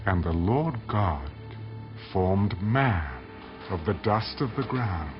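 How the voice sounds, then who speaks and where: A man narrating dramatized scripture in a solemn, measured manner. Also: low voice, expressive. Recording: dull audio under loud music.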